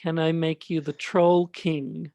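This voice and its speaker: Casting: male podcast host with a light, high-pitched voice, slow and halting chat, recorded dry. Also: level tone, creaky, dark tone, no echo. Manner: hesitant, halting delivery. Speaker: host and radio play director.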